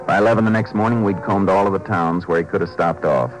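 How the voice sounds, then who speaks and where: A terse, steady lawman acted by a man with a deep, low voice, straight out of western radio. He is clipped and matter-of-fact on an old fifties broadcast recording. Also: fast talker, over music, natural voice, poor audio. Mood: measured narration. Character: steady, terse Texas Ranger lawman, narrating his own cases. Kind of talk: 1950s western radio drama acting and narration.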